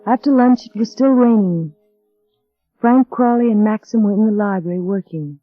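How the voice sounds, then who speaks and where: A timid, anxious young wife played by an actress in a gothic radio play of the 1940s. Her pitch is medium for a woman, and the sound is narrow and tinny, like an aged broadcast. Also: no room sound, lightly acted. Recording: thin, band-limited vintage radio recording.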